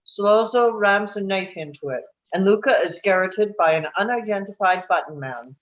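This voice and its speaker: A woman's voice: medium pitch, lively intonation, normal speed. A woman reading scripted stage directions as the narrator of a table read, on dull lo-fi audio.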